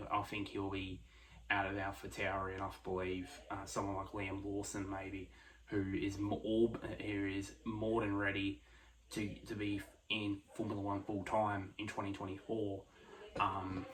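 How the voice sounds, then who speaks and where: A man giving casual solo motorsport predictions, speaking quietly late at night, with many fillers and restarts. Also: fairly low pitch, rough recording. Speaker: F1 prediction vlogger.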